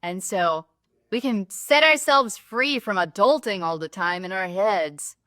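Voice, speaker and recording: A woman's voice: mid-range voice, a little slow, a bit bright, no echo, studio quality. A woman co-hosting a spiritual talk show, encouraging and affirming listeners. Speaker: co-host.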